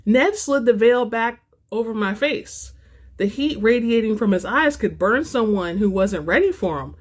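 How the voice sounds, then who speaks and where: A woman reading romance fiction aloud as a host, with an expressive, theatrical delivery. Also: a little high, normal speed. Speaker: audiobook-style reader and channel host.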